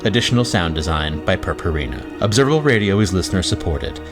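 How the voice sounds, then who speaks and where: A man's voice: sits low, animated, fast. A man announcing scripted closing credits for an audio drama. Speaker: announcer reading show credits. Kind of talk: scripted narration. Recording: prominent music behind.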